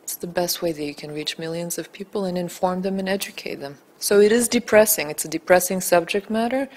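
A woman's voice: on the low side, brisk, darkish tone. A woman in her thirties from Bulgaria, a documentary film-maker answering interview questions in an earnest, articulate way, into a handheld mic in a small indoor room. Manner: earnest, articulate, building emphasis. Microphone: handheld mic held by interviewer.

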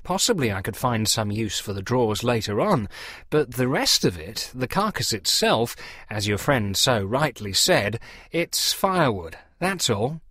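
A man's voice: medium pitch, sing-song, measured pace, fairly bright tone, slightly rough, dry recording, clean studio sound, full character voice. A man voicing a sly, over-polite middle-aged dealer posing as a fussy parson in a storybook reading. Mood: smoothly dismissive. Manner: over-polite, apologetic, feigning reluctance. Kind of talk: storybook character dialogue.

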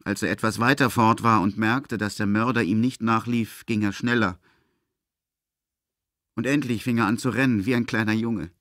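Low-voiced male storyteller, dry sound. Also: steady tone, moderate pace, a bit bright, no room sound. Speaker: narrator. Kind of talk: literary scripted narration in an audio drama.

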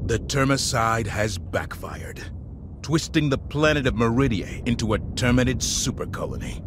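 An actor playing a grave, zealous military officer in a video game, speaking in a solemn, formal, dramatic way. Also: male voice, expressive, moderate pace, natural voice. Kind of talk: scripted video game voice acting. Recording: rumbling ship engine noise.

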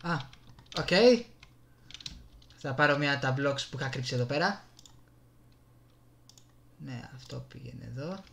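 A Greek-accented man commentates casually on his own gameplay. His mid-pitched voice is informal and reactive, full of slang and short exclamations. The desktop mic carries faint noise with keys and clicks behind him. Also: creaky, pauses often, fairly bright tone. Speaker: gamer. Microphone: desktop USB microphone. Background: keyboard and mouse clicking.

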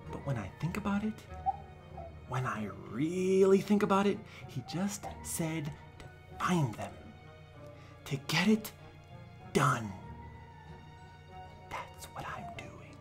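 A young man giving an over-the-top dramatic reading as a smug, defensive forum poster, theatrical and swinging between breathy whispers and shouting. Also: high-pitched, heavily acted. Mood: solemn, self-important. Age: young adult. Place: small room at home.

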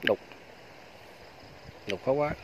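A man's voice: slow, heavy background noise. A man, talking casually to viewers while filming a walking tour of a farm outdoors on a phone. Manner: chatty, keeps addressing viewers as aunts, uncles and friends. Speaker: vlogger giving a farm tour. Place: outdoors on a rural farm by fish ponds.

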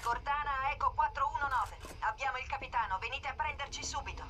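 A woman's voice: sits high, quick pace, bright, forceful tone. A woman acting as a composed, analytical military artificial intelligence in a dubbed video game, giving serious briefings. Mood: urgent, controlled. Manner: clear-headed, briefing, serious.

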